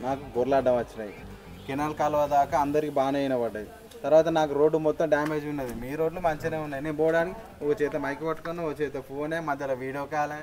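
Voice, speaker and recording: A man's voice: on the high side, fairly even intonation, very fast, a little mellow. A man in casual chat, explaining himself to his friends.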